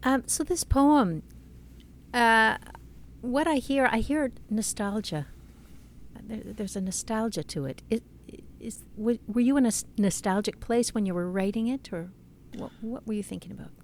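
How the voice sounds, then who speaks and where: A woman's voice: fairly high pitch, moderate pace, a little hiss or hum. A woman interviewing a poet, asking reflective questions and hesitating now and then.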